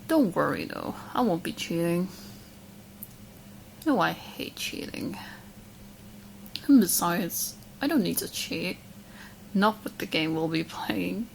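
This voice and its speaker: A woman acts out a young witch hopelessly fixated on the listener in an audio roleplay. She talks in a slightly low female voice, coy and chatty, with smug confidence and small hesitant asides. A faint ambient noise sits behind her. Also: animated, slow, vocal fry, pauses often, heavily acted, low-quality recording. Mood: smug, possessive.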